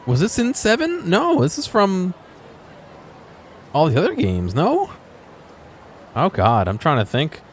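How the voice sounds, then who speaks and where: A man's voice: sits high, wildly swooping pitch, a little slow, pauses often, slight background noise. A man giving casual gameplay commentary as a streamer, musing aloud and often questioning himself. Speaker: video game streamer.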